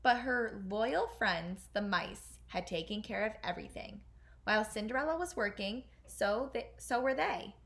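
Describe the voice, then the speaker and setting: A woman teacher reading a children's fairy tale aloud in an expressive storytelling style. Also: medium pitch, moderate pace, vocal fry.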